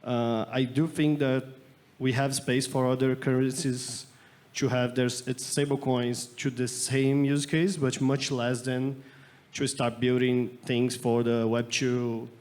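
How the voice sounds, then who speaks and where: A man from Brazil speaking as a panelist at a conference, explaining his company in a measured way. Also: medium pitch, little pitch movement, darkish tone. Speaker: panelist, startup founder. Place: conference stage.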